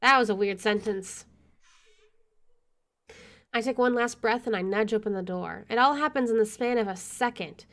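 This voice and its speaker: A woman's voice: fairly high pitch, normal speed, long pauses, fairly bright tone. A woman hosting a gaming video, reading visual novel narration aloud with casual asides.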